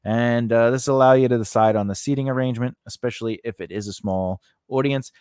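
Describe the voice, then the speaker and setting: A man with a medium-pitched voice walks through a lesson in a loose, conversational way. He checks in often with small "you know" and "all right" tags, like an instructor explaining practical steps. The sound is close and dry, with little room around it. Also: steady tone, quick pace, darkish tone, smooth, no room sound. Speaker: screenwriting instructor. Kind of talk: informal instructional lesson, talking through an outline.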